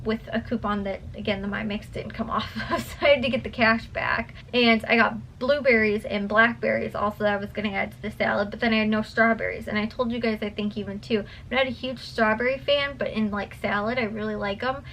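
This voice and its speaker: A woman's voice: on the high side, steady tone, brisk, few pauses, fairly bright tone, a little hiss or hum. Tired young woman rambling to her vlog. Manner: rambling, many fillers and restarts. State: tired, voice a bit worn. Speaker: vlogger. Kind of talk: casual chat to camera. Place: home at night.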